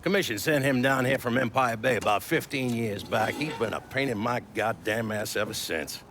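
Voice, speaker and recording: An actor playing a gregarious but ruthless older mob boss in a video game, folksy, profane and always selling. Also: male voice, mid-range voice, moderate pace, a bit bright, a little hiss or hum, close to an everyday voice. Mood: irritated. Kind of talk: cinematic video game dialogue. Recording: music behind the voice.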